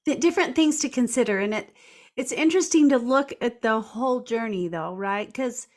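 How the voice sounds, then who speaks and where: A woman hosting a podcast as a life coach, affirming and quick to agree with her guest. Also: on the high side. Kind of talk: casual podcast interview.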